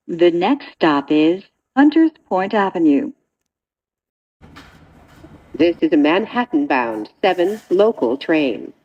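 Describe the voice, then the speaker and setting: A woman reading scripted train announcements in a measured, segmented way. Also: lowish voice, slightly rough, lo-fi sound.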